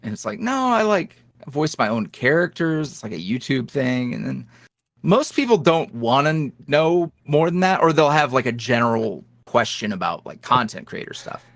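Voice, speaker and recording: A young man speaks candidly as an interview guest, his voice moving through a wide, lively pitch range. The sound is dry and close, with no room around it. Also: moderate pace, vocal fry, dry recording. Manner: candid, animated, jumps up in pitch when quoting others. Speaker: guest, ASMR roleplay creator. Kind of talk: casual interview chat.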